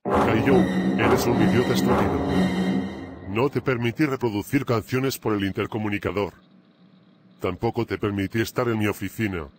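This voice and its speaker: A man voicing an angry red-faced school principal, loud and scolding. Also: on the low side, fairly even intonation, normal speed, long pauses, over music, close to an everyday voice. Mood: furious.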